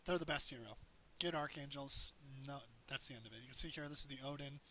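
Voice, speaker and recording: A man with a hoarse voice, casually talking through a strategy game as he plays it, explaining tips in a loose, rambling way. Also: medium pitch, fast talker, bright, forceful tone, slight background noise, rough recording. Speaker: gameplay commentator. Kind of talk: casual game tutorial commentary.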